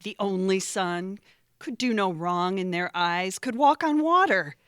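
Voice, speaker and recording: Woman acting a resentful, highly animated sister. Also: a little slow, clear, resonant tone, dry recording, clean studio sound. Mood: aggrieved. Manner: sarcastic, aggrieved. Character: resentful, competitive, overdramatic grown sister. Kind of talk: audio theatre family comedy-drama acting.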